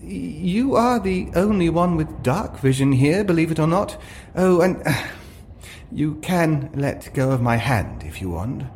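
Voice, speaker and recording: An eager, nervous would-be adventurer voiced by a man in a fantasy story. The voice sits high and swings about, fawning and polite, with little hesitations and stammers. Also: sing-song, a little slow, darkish tone, a little hiss or hum. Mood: bright, coaxing.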